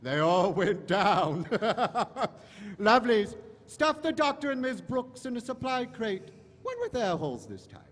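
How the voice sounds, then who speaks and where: A man's voice: high voice, big pitch swings, a little slow, a bit bright, full character voice. A man playing a flamboyant, gloating monster disguised as a professor, droll and mock-polite, in a live audio drama. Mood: gleeful menace. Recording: echoey hall stage recording.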